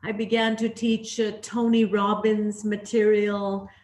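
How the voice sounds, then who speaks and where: A woman's voice: mid-range voice, level tone, a little slow, darkish tone. A middle-aged woman raised in Canada, telling her career story as an interview guest in a candid, reflective way with frequent fillers and restarts. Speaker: interview guest, leadership trainer. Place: living in Greece. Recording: echoey room.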